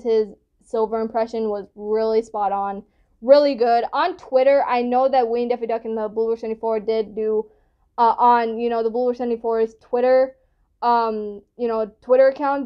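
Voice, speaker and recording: A woman's voice: on the high side, clean tone, highs cut off. A young woman giving casual, giggly, rambling reaction commentary as a video host, recorded indoors on a device at arm's length. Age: young adult. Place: indoor room at home.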